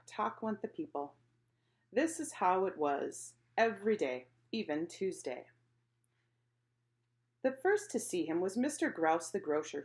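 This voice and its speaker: A woman reads a children's storybook aloud with a very lively, swooping delivery. Her voice sits at a middling pitch for a woman, and she narrates expressively, as if acting out the tale. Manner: animated, acting out characters' exclamations. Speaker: picture book reader.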